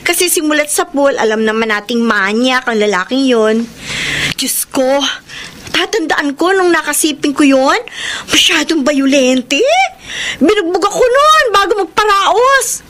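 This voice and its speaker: High-voiced gossipy Filipino woman. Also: lively intonation, unhurried, a bit bright, slight background noise. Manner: exclamatory, dramatic, gossipy. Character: gossipy, blunt nightclub hostess friend, easily shocked. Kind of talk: Filipino radio drama acting. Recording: music behind the voice.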